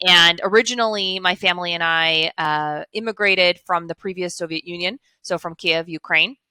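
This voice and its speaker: A woman's voice: on the low side, normal speed, bright, forceful tone, clear, resonant tone, dry recording, poor audio. A woman, telling her story as a guest over a headset video call, earnest and fluent with frequent fillers. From Chicago, USA. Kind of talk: casual interview storytelling. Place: home office with blinds, indoors.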